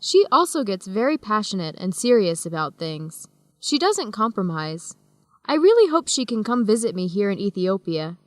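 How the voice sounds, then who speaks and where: A woman's voice: medium pitch, big pitch swings, moderate pace, dry recording, studio quality, natural voice. An actress playing a friendly, easygoing young woman student traveler in a radio drama for English learners. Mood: lighthearted. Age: young adult.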